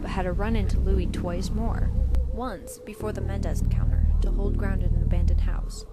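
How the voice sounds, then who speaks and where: Loud music runs under a female government agent in an audio drama , voiced by a woman actor. She is businesslike and matter-of-fact, with a dry sarcastic edge and a mid-pitched voice. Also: steady tone, normal speed, natural voice. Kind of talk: scripted fan-dub drama.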